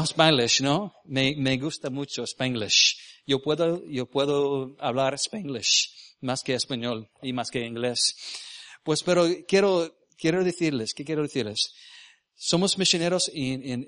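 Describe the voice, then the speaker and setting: A man born in Venezuela who grew up speaking English, a missionary preaching in Spanish with an American English accent, often halting to search for words and asking the congregation questions. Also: mid-range voice, a bit bright, no echo, studio quality. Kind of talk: sermon with personal stories. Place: church.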